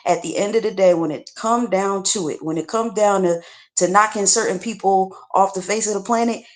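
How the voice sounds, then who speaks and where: A woman's voice: medium pitch, fairly quick. A woman speaking bluntly and frankly as a panelist in a casual livestream discussion, often restarting her sentences. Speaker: podcast panelist.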